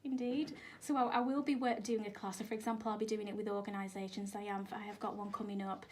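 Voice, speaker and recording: A woman yoga teacher and life coach from England, speaking earnestly as a radio guest with hesitant restarts and soft asides. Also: mid-range voice, flat delivery, fast.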